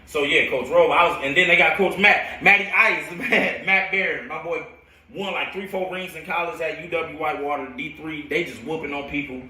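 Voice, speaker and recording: An American man who coaches football, telling stories casually and in an animated, slangy, rambling way on a live stream. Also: fairly high pitch, moderate pace. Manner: animated, slangy, laughs often. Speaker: football coach hosting a storytelling show. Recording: roomy echo, distant from the mic.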